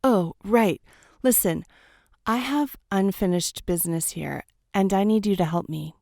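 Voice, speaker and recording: A woman's voice: mid-range voice, a little slow, vocal fry, a little mellow, no room sound, clean studio sound. A woman playing the earnest ghost of a wartime doctor in a radio drama, speaking frankly and conversationally. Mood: businesslike, insistent. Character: earnest female ghost of a Great War doctor.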